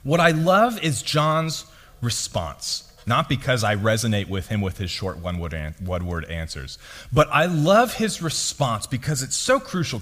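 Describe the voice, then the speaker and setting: Deep-voiced young man preaching in a hall. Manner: lively, conversational, self-deprecating storytelling. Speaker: pastor. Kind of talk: sermon. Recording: long reverb of a large hall.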